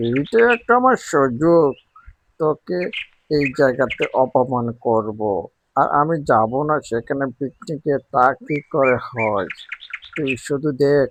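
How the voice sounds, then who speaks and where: Man voicing a noisy, scheming, spiteful cartoon bird villain. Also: on the high side.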